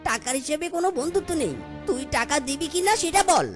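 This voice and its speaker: A woman's voice: high voice, expressive, fast talker, music in the background. A woman voicing a stingy, quarrelsome male fox moneylender in a comedy cartoon, scolding and blustering. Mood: angry.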